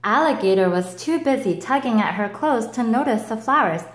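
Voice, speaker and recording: A woman reads a children's picture book aloud at an unhurried pace, her pitch rising and falling brightly through the lines. Her voice sits a little high and she lifts it further for the animal characters. The room around her is echoey. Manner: slow, expressive, voicing each animal with lifts in pitch. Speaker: storybook reader. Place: table with picture book, indoors.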